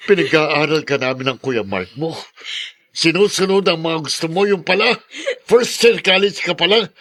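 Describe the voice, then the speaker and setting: An enraged middle-aged father in a radio drama. His voice is bright and forceful, set rather high, and leaps about as he scolds. He is hard and unforgiving. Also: animated, moderate pace. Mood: angry, scolding. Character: enraged, unforgiving father of a college-age daughter. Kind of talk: Tagalog radio drama acting.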